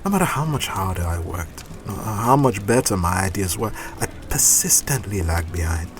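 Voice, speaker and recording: A kindly gentleman of the streets in an audio drama. His pitch is a little low and moves around a lot, his wording formal and reassuring. Music plays clearly behind him. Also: lively intonation, moderate pace. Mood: wistful. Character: gentle, courteous homeless man, former computer engineer.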